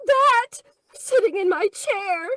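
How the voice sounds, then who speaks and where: Flustered young female client, radio comedy: piping high voice, breathless, dry close sound. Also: animated, slow pace, pushed and bright, no echo, full character voice. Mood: frightened. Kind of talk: old-time radio detective comedy acting.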